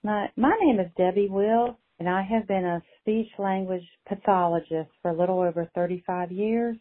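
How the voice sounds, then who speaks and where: A woman's voice: mid-range voice, moderate pace. A middle-aged woman who stutters, a speech-language pathologist, telling her own story in a voicemail. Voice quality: stutters, with some repeated words. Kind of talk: recorded voicemail, personal story. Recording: tinny phone line voicemail.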